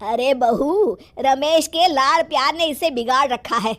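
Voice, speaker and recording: A woman's voice: high voice, a little slow, a bit bright. A woman playing a grumpy, bossy old grandmother in a children's moral story, speaking in an emphatic, exclamatory way. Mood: scolding. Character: grumpy, scolding old grandmother who dotes on her grandson.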